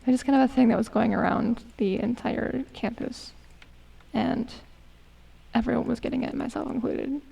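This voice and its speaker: A woman in her twenties, going hoarse as she chats casually and mutters to herself while streaming a game. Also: fairly high pitch, moderate pace, mellow, recorded from a distance, poor audio. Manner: chatty, self-mocking, hums and mutters to herself. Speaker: gaming streamer. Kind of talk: casual gameplay commentary.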